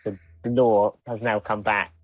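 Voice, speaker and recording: A man co-hosting a podcast in casual chat, speaking in halting pieces and repeating words, on a dull microphone. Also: on the low side, flat delivery, no echo. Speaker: podcast co-host. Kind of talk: casual chat reviewing audio drama.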